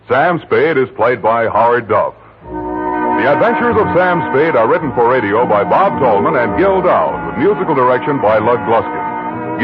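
A male radio announcer reading the closing credits in a polished, emphatic vintage broadcast style. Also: mid-range voice. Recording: dull old radio broadcast with music.

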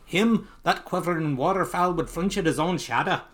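A grumpy, rich old comic-book duck acted out by a man speaks higher and louder in a rough Scottish accent. He is theatrical and spiteful, relishing his own miserliness with cackles and grumbles. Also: normal speed, lightly acted. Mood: gleeful scheming. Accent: attempted Scottish. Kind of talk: comic book character read aloud.

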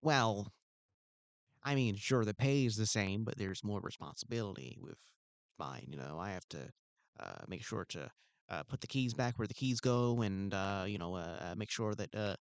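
A man's voice: on the low side, expressive, moderate pace, no room sound. A man playing a needy, pompous security guard. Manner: rambling, stammering, needy, self-important. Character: insecure pompous male mall security guard. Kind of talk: improvised comedy scene.

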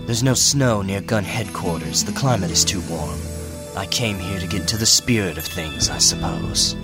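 A brooding cartoon hedgehog voiced by a man in a radio play. He is serious and guarded, with a lively, dramatic range. Music plays clearly underneath. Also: low voice, normal speed. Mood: guarded, softening. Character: brooding, aloof male hedgehog loner. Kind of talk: amateur radio play acting.

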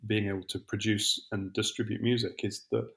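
A middle-aged man, a musician guest on a podcast, talking reflectively with many pauses and restarts. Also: lowish voice, flat delivery, gravelly, a bit bright. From England. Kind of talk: podcast interview.

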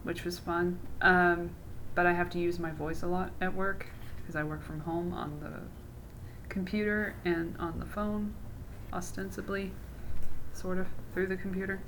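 A woman's voice: lowish voice, level tone, measured pace, a bit bright, a little hiss or hum, poor audio. A woman hosting her own podcast in casual chat, sarcastic and mocking, swearing freely as she talks. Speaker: podcast host.

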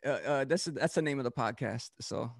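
A man's voice: mid-range voice, normal speed, gravelly, no room sound, clean studio sound. A man from Delaware, a DJ and podcast host, talking loosely as an interview guest, with many fillers and restarts. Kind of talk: casual interview talk.

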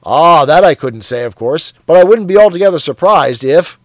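A man doing a loud, cheery, blustering neighbor. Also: fairly high pitch, expressive, moderate pace, no room sound, voice pushed up, close to an everyday voice. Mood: boastful. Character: hearty, sweaty, zealous middle-aged man.

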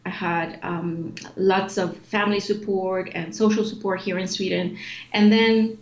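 A woman's voice: mid-range voice, steady tone, a little slow. A woman in her forties, born in Chile, answering interview questions in a thoughtful, explanatory way with frequent fillers and restarts. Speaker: guest, executive director of an obesity organization. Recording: echoey room, dull lo-fi sound, faint noise.

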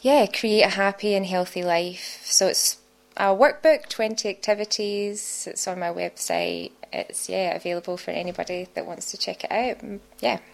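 A woman's voice: medium pitch, moderate pace, creaky. A woman in her thirties with a thick Scottish accent, a guest chatting casually in a radio studio with frequent laughs and fillers. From Scotland. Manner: giggly, warm, chatty with many fillers. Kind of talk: casual interview chat.

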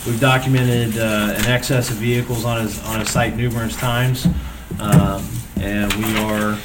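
Man, township staff report, hesitant, many fillers, low voice, distant noisy mic. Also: little pitch movement, moderate pace. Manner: hesitant, many ums and restarts. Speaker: township zoning staff member. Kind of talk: reporting at a public board meeting.

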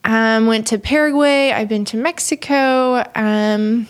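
A woman's voice: fairly high pitch, fairly even intonation, takes it slowly. A woman in her twenties from Haiti, a missionary guest talking earnestly in a casual interview, rambling with many fillers.

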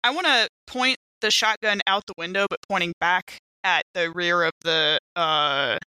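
A woman's voice: on the low side, moderate pace, sharp tone, clean tone, dry recording, rough recording. A woman chatting casually and playfully as a player at a tabletop game.

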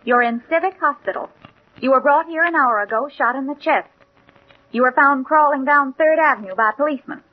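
An actress in a 1940s radio drama playing a brisk, dutiful hospital nurse with a crisp, formal, attentive manner. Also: female voice, high voice, natural voice. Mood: attentive, composed. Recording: dull, noisy 1940s radio recording.

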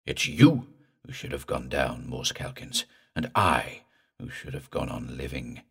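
A man voicing an undead drowned man in a horror story, with a slow, creepy, guttural, gurgling drawl and a vengeful temper. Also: a bass voice, expressive, pushed and bright, husky, pitched below the performer's own voice, full character voice. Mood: cold, bitter.

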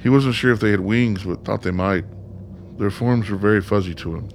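Male actor as sardonic, foul-mouthed son, radio play, low register, faintly noisy track. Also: brisk, gravelly. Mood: bitter. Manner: blunt, sardonic. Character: bitter, sarcastic, foul-mouthed son of an ailing father.

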